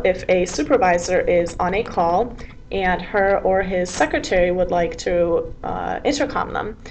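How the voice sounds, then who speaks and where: A woman presenting a software tutorial in an informal, explanatory, step-by-step way. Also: medium pitch, slight background noise, poor audio. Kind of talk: software tutorial narration, semi-scripted.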